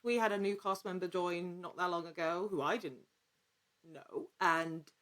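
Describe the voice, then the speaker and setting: Woman, lowish pitch, interview guest, casual conversation, animated anecdotal delivery. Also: measured pace. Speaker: podcast guest, actor and producer in actual play.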